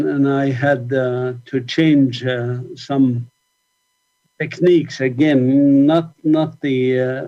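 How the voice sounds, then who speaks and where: A man from Palestine gives a reflective talk about his own work, pausing often and restarting his sentences. His voice is mellow and dark, and the recording sounds muffled and lo-fi. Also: medium pitch. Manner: reflective, many fillers and restarts. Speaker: activist speaker. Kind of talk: conference talk, personal account.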